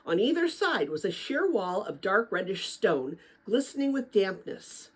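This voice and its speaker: Older woman, retired teacher, very animated intonation, raspy edge, informal storybook read-aloud, chatty. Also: medium pitch, a little slow. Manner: chatty, animated, rambling with personal asides. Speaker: retired teacher reading a children's book aloud.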